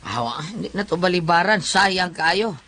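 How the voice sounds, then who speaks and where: Evasive, grumbling Cebuano councilor. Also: male voice, high-pitched, lively intonation, normal speed, loud music behind the voice. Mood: irritated. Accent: Cebuano Filipino. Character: evasive, grumbling town councilor, a husband dodging requests. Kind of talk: comedic radio drama acting.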